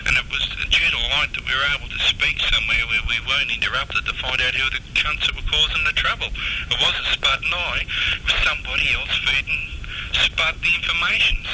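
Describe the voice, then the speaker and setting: An Australian man chatting bluntly and matter-of-factly over CB radio, with some swearing. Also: a little high. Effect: CB radio transmission. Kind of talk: casual CB radio chat. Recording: noisy CB radio transmission.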